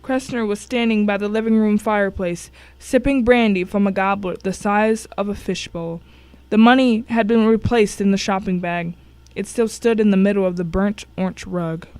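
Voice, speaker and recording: A woman's voice: mid-range voice, fairly even intonation, normal speed, a little mellow, clean tone, dry recording. A woman reading a scripted first-person thriller as narrator, telling it with drama and suspense. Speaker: story narrator.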